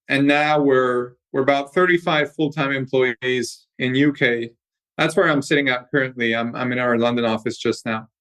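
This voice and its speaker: A man talks through his company's story at an ordinary pitch. He explains things fluently and at length, sometimes repeating a word as he restarts. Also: a bit bright, rough recording. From Hungary. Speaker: company co-founder and guest. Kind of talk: conversational interview answers. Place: company office.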